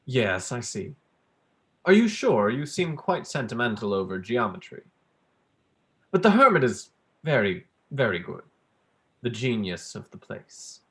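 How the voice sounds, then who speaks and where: A young performer playing a pedantic male academic in a staged play reading, halting, smugly polite and prone to interrupt himself. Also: mid-range voice, big pitch swings. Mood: teasing, polite. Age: young adult. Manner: halting, donnish, breaking off with apologies.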